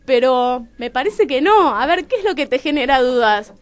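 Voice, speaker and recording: An Argentine woman with a Rioplatense accent, a talkative and expressive storyteller in conversation who acts out remembered dialogue. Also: high-pitched, moderate pace, clear, resonant tone. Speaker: playwright, radio show guest. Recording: music behind the voice. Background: music.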